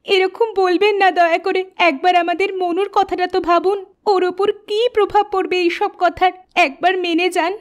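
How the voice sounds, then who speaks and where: A woman voicing a desperate young wife who begs and pleads in a high, strained voice. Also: level tone, normal speed, hardly any pauses. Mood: pleading. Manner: pleading, breathless and high-pitched.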